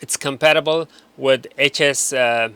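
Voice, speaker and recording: A man presents products in a bright, forward voice at an ordinary male pitch. He talks off the cuff like an informal instructor, circling back and rewording his points to make them clear. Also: fairly even intonation, unhurried, dry recording. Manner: unscripted, repeats and rephrases to explain. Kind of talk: informal explanatory product walkthrough.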